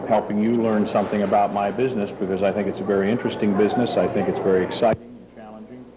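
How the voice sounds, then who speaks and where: A middle-aged man with a low voice, speaking in measured, explanatory sentences as a guest. He sounds like a seasoned anchor. The old tape is dull and carries a steady hiss. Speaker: TV news anchor, interview guest. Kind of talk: relaxed interview answers.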